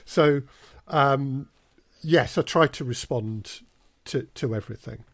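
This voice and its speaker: A man's voice: medium pitch, takes it slowly, fairly bright tone, no echo. Man chatting as an interview guest. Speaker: podcast guest, audio drama producer and casting director. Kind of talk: casual interview conversation.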